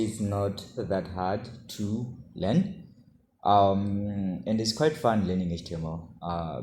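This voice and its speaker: A man giving an informal coding tutorial to camera, halting as he explains and often checking in with short tags. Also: sits low, darkish tone. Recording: roomy echo, faint background noise.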